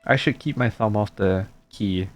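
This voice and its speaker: Very low-voiced woman streaming a game. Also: moderate pace. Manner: offhand, musing aloud, reading dialogue. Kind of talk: casual playthrough commentary. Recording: game music behind.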